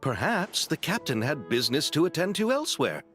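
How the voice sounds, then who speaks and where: A man playing a polite, excitable film director with a flowery, dramatic way of talking in a video game cutscene. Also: on the high side, brisk, over music.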